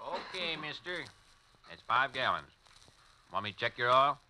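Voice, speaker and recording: An actor playing a chatty, nosy gas station attendant in a 1940s radio drama, drawling with hesitant uh's. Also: male voice, mid-range voice, big pitch swings, normal speed, background noise, close to an everyday voice. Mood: friendly nosy.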